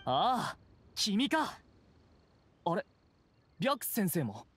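A man's voice: high voice, huge pitch swings, very slow, a bit bright, a little hiss or hum, full character voice. A man playing a dutiful, troubled town guard in an anime game. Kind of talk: Japanese anime game voice acting.

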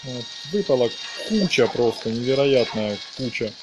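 A man narrates his video game in a casual let's play style. He talks along with the action playfully, in a mid-pitched voice. The recording is clearly noisy, with game sounds behind him. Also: expressive, moderate pace. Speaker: gameplay commentator.

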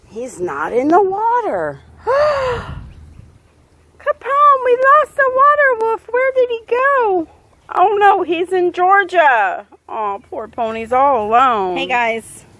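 High, very lively woman vlogger. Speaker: pet vlog host. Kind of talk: casual playful chat to pets and viewers. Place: outdoors in a backyard by a pool.

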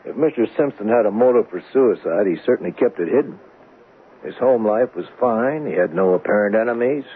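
A man playing a measured, cautious police captain in a 1970s radio drama, matter-of-fact and procedural. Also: on the low side, animated, slightly rough. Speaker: actor in a radio mystery drama. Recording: narrow, noisy old radio recording.

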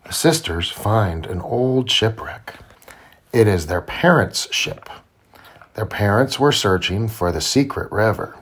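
A man reading a children's storybook aloud to his child in simple, deliberate phrasing. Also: fairly low pitch, expressive, a bit bright, slightly rough. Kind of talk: children's read-aloud narration.

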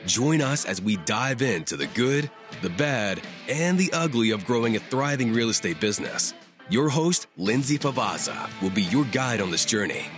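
Announcer: man, lively swooping delivery, soft guitar bed behind. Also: medium pitch, moderate pace. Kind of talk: scripted promo narration. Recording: narration over guitar music.

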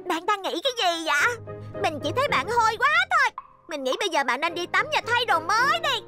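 A woman's voice: very high-pitched, sing-song, a little fast, over loud music. A woman voicing a prim, fussy, haughty little schoolgirl in a cartoon dub, with a complaining manner. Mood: disgusted. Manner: prissy, complaining.

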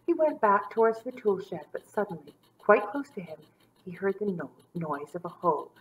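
A woman in her thirties reading a children's story aloud with dramatic emphasis and drawn-out words. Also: mid-range voice, very animated, background noise. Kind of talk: expressive storybook read-aloud for young children. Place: small indoor room set up as a classroom.

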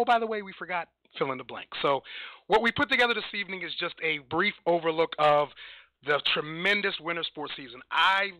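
A man gives a formal, enthusiastic recap of the athletic season. His voice sits a little high and sounds bright and tense. There is no room echo. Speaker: athletic director. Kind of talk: formal presentation. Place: meeting room.

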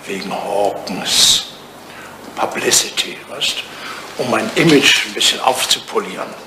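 An actor playing an ageing English lord in a retro German radio play, a vain, jealous suitor with a droll, drily teasing, theatrical manner. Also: male voice, lowish voice, expressive, measured pace. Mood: sheepish. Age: older. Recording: distant, roomy, noisy old radio recording.

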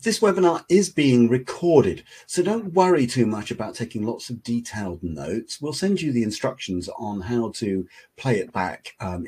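A middle-aged man hosting a webinar over a video call, explaining in a measured way. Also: on the low side, slightly rough. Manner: measured, explanatory, lively intonation. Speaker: webinar host. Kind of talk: webinar moderation. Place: home office, video call.

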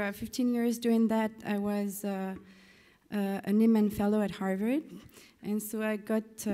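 A woman with a French accent speaks to a conference from a panel table. Her tone is dark and relaxed at a medium pitch, her phrasing informal and halting. A long hall reverb surrounds the voice. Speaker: live magazine founder, panel speaker. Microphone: table gooseneck microphone.

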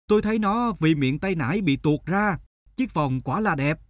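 An actor playing an earnest, aggrieved young male student in an animated folk tale, protesting in a plaintive, emphatic way. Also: on the high side, normal speed, natural voice. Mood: polite.

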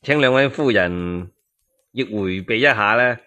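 A man's voice: on the low side, measured pace, bright, forceful tone, voice pushed down. A man with a Cantonese accent voicing a scheming male palace official, authoritative and curt, in a radio tale. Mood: smooth, commanding.

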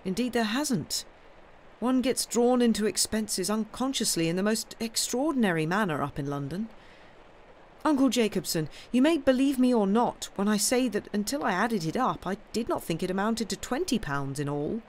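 A sheepish young man from an old storybook, played by a male narrator, meek and apologetic. His voice is pitched high and sounds halting and cornered, with some noise behind it. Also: expressive. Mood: miserable, pleading. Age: young adult.

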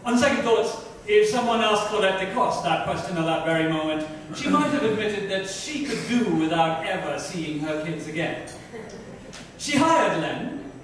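A man's voice: sits high, animated, moderate pace. A man giving a theatrical reading of scripted story narration. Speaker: reader at a dramatic book reading. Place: club lounge, indoors. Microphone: distant microphone. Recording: very echoey room, distant mic, faint noise.

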